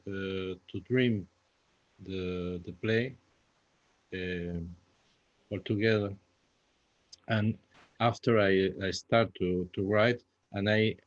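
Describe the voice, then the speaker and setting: A man with a Spanish accent answering panel questions in English as a second language, halting and repeating himself as he searches for words. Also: lowish voice. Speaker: playwright guest. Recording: dull lo-fi, echoey room sound.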